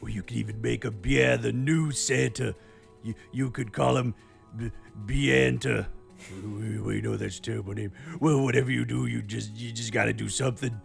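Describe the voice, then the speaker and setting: A man voicing a gruff, frantic bear man from a ruined future, stammering through an urgent comic plea. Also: medium pitch, fairly even intonation, normal speed. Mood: pleading, rambling. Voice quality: gravelly. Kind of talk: comic in-character recorded plea. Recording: music under the voice.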